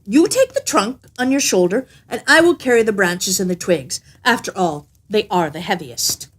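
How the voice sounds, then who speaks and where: A woman's voice: mid-range voice, animated, normal speed, husky. A woman voicing a cheerful, boastful little male tailor in a storybook, with a jaunty, emphatic delivery.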